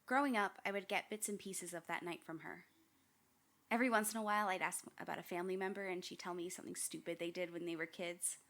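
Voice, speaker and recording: A woman's voice: lowish voice, fairly even intonation, fast, fairly bright tone. A young woman playing a candid, wry daughter haunted by family tragedy, narrating a radio drama. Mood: reflective, wry. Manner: reflective, wry. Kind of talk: first-person scripted narration.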